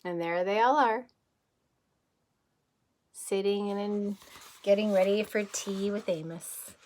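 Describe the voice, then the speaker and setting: A woman reading a picture book aloud as a kindergarten teacher, gently and expressively, with questions to the listeners. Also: mid-range voice, takes it slowly.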